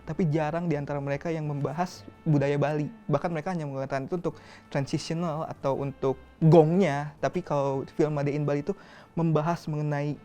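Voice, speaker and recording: An interview host asking questions in a lively, friendly way. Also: mid-range voice, a little fast, faint music behind. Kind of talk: casual interview.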